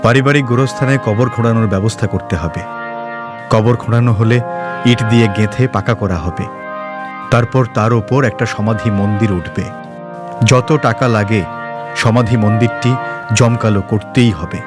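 A man's voice: fairly low pitch, moderate pace, long pauses. Male storyteller narrating over music. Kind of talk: scripted story narration with acted dialogue. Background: background music.

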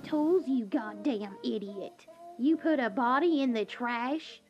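Elderly gangster grandmother played by a woman, unhurried and chiding, high voice, audio drama. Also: dull audio, over loud music. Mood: scolding. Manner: slow, chiding delivery. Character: scolding elderly gangster grandmother, sweet then harsh.

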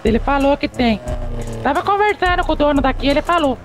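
A woman's voice: high voice, animated, fairly quick. A woman with a Brazilian accent in casual voice chat as a fellow player in an online game. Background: music and vehicle sounds.

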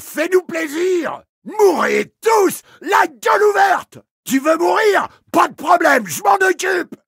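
A man's voice: extremely high pitch, vocal fry, sharp tone, no room sound, studio quality, full character voice. An actor playing a fierce, battle-hardened male infantry soldier in a war game, barking urgent, aggressive short bursts. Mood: vicious, triumphant. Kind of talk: shouted war game combat barks.

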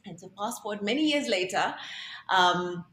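A young woman from Kenya telling the story of her work as a guest in an interview, reflective and animated when she quotes herself, heard over a video call. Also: medium pitch, normal speed. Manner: reflective storytelling, quoting herself in lively voices. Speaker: guest artist. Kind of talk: conversational interview. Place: bright indoor room, video call. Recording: roomy video-call audio, faint room noise.

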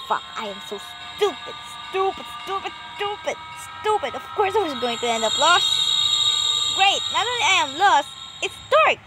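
A woman reading a visual novel aloud as she plays, with expressive, excitable delivery. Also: sits high, low-quality recording. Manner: expressive, excitable, swearing in asides. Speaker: gamer recording a playthrough. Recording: loud music over the voice. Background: loud intense game music.

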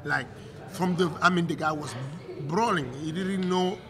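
A man's voice: a little high, measured pace, a crowd in the background. A middle-aged man from Cameroon with a French accent, a coach talking in a casual interview, often repeating words as he searches for English. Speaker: MMA coach. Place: hotel room, indoors. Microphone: desk mic on stand.

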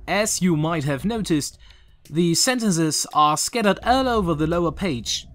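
A young man in his twenties with a German accent, earnestly talking through a game theory from his bedroom, with a few filler pauses. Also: a little high, expressive, fairly bright tone, soft background music. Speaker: YouTuber. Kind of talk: game theory video commentary. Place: small bedroom with shelves, indoors.

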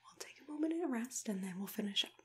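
A woman's voice: a little high, expressive, quick pace, slight background noise. Whispering young woman, soothing ASMR dentist. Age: young adult. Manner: soothing, gentle, hesitant murmured phrasing. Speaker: ASMR roleplayer playing a dentist. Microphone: close to the microphone.

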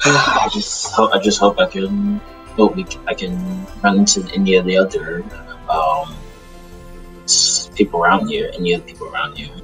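A man playing a weary, guarded demon outcast in an improvised anime game roleplay, speaking haltingly and repeating himself. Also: low-pitched, animated, creaky. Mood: wistful. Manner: halting, repetitive, sighing. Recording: distant mic under music. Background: music.

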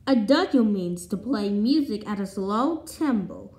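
Female read-along presenter for a picture book, lively intonation, occasional stumbles, echoey room. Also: medium pitch, a little slow. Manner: stumbles over words and restarts. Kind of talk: reading a children's picture book aloud. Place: indoor room at home with wooden floor.

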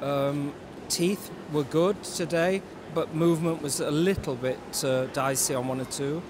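A man's voice: on the high side, fairly even intonation, unhurried. An older man giving expert answers in an interview as a show judge. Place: large busy indoor exhibition hall. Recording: noisy show hall background.

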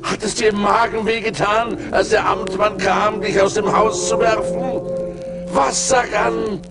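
An actor playing a sinister giant forest spirit in a radio play, coaxing and mocking in a slow, theatrical, creaky voice. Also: male voice, sits high, steady tone. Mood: urgent persuasion. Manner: slow, theatrical, wheedling and scornful. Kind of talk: German radio play acting. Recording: 1980s vinyl radio play with music.

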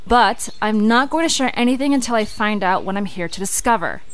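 A woman's voice: mid-range voice, expressive, brisk, a little hiss or hum, close to an everyday voice. A woman playing a curious, determined young investigator in an audio drama, earnest and conversational.

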